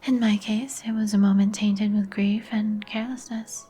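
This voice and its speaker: A woman playing a melancholy, courteous vampire in a breathy whisper, with formal, old-fashioned phrasing for an ASMR roleplay. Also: medium pitch, fairly even intonation, normal speed, dark tone, close to an everyday voice. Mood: sorrowful. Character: melancholy, courteous vampire woman, turned young some 150 years ago. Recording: music behind the voice. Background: music.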